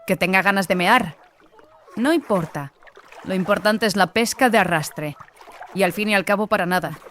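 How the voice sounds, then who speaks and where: A woman playing a haughty, impatient young sorceress adept in an audiobook, speaking in a mocking, deliberate, falsely sweet way. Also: mid-range voice, fairly bright tone, a little hiss or hum, natural voice. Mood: irritated, sarcastic. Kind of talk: acted audiobook dialogue.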